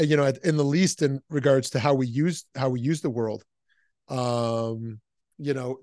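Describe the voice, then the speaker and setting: A man leading an informal class discussion as a rabbi and teacher, speaking haltingly and often repeating his words and phrases. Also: medium pitch, darkish tone, dry recording, clean studio sound.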